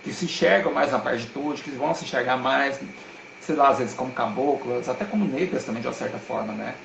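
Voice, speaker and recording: A man's voice: mid-range voice, fast talker, gravelly, noisy background. A man talks as a guest on a live stream, earnest and emphatic, with a lot of slang. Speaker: guest speaker. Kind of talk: casual live-stream talk. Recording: roomy echo.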